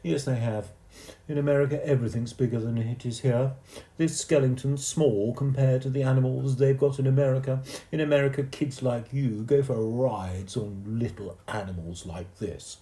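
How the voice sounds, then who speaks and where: A man's voice: medium pitch, steady tone, normal speed, dark tone, reverberant, pitched above the performer's own voice, natural voice. A man voicing a boastful know-it-all boy who talks in a bragging, scornful way.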